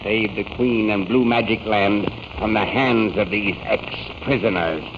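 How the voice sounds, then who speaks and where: A noisy old radio recording carries a low-pitched general. He is proud and vengeful, blustering and muttering with imperious authority in the manner of a 1930s serial. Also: unhurried, dull audio. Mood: scheming, determined. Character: proud scheming army general, loyal and vengeful.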